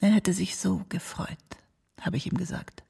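Gravelly, husky old mother. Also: on the low side, steady tone, moderate pace, dark tone, whispered, heavily acted. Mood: wistful, proud. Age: older. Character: doting, worried old mother of a star singer. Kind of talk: documentary radio drama monologue.

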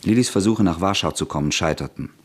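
Deep-voiced male radio-play narrator. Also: quick pace, slightly rough.

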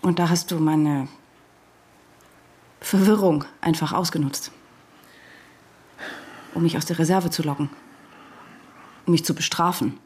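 An actress playing a distraught wife confronting her husband, speaking haltingly in intimate radio drama dialogue. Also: low voice, steady tone, darkish tone, breathy edge. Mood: wounded, accusing.

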